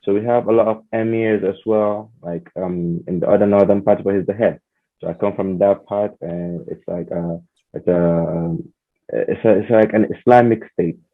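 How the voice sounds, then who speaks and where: A man's voice: deep voice, fairly even intonation, normal speed. A man from Nigeria whose first language is Hausa, a podcast guest chatting casually with many fillers and restarts. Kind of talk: casual chat. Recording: tinny phone-like sound.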